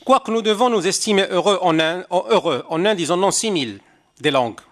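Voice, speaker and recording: A man's voice: high-pitched, lively intonation, fairly quick, fairly bright tone. Older Moroccan man reading a wry speech. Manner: wry, rhetorical, ironic asides. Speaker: conference interpreter giving a talk. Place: seminar hall.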